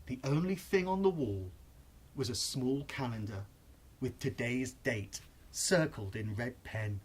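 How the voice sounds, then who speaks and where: A storyteller narrating a children's bedtime tale in an expressive, suspenseful, deliberate way. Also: mid-range voice, breathy edge.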